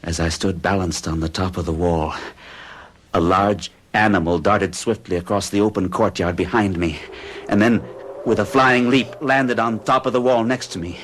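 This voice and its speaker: An actor playing a haunted, frightened man who tells his story with halting, dramatic intensity in an old radio horror drama. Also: low voice, fairly even intonation, a bit bright, a little hiss or hum, rough recording. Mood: haunted, hushed.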